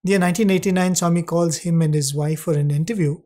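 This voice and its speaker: A man narrating a devotional anecdote conversationally, using tag questions and gentle humour. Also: on the high side, fairly even intonation, quick pace. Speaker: satsang co-host.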